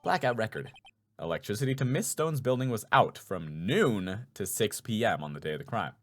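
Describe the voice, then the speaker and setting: A man voicing a stern, authoritative older judge in a video game, speaking in a measured way. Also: fairly low pitch, sing-song, fairly bright tone, faint music behind, voice pushed down. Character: grave, authoritative older male courtroom judge. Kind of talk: dramatic video game dubbing.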